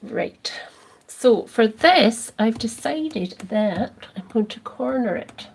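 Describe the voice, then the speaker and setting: A woman's voice: fairly high pitch, expressive, creaky, breathy edge. A Scottish woman hosting a casual crafting livestream, chatting with viewers and answering their comments as she works. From Scotland. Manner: slow, soft, drifting into near-whispers as she concentrates.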